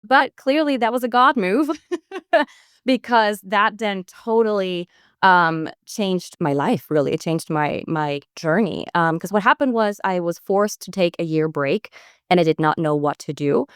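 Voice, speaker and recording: A woman in her thirties from Switzerland, an actress talking as a guest in a podcast interview, upbeat and quick to laugh. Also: lowish voice, clear, resonant tone, no echo, clean studio sound. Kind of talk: casual interview talk.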